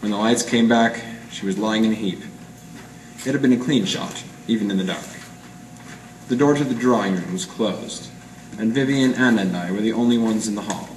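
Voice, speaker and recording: An amateur actor playing a hard-boiled 1940s private eye in a radio noir, clipped, deadpan and slangy. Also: male voice, fairly low pitch, normal speed, natural voice. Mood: grim narrating. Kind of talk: 1940s radio noir acting with first-person narration. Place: live presentation in a roomy gallery. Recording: echoey room, distant mic, faint noise.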